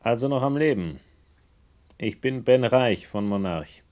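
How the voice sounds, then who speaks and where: An actor playing a cool, calculating industrial magnate in a 1970s German radio play. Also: male voice, medium pitch, expressive, a little slow, lightly acted. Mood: menacing. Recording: dull recording with loud background music.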